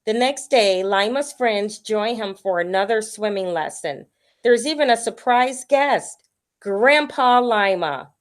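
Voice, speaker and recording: A woman reading a picture book aloud to children in a deliberate storytelling manner. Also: mid-range voice, animated.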